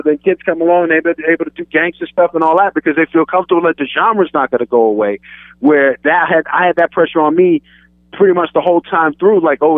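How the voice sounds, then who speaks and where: A man's voice: a little high, dry recording. A man with a light New York accent, the guest on a radio show, talking casually with many restarts. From born in London, raised in Queens, New York. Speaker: guest rapper. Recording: tinny phone-like sound.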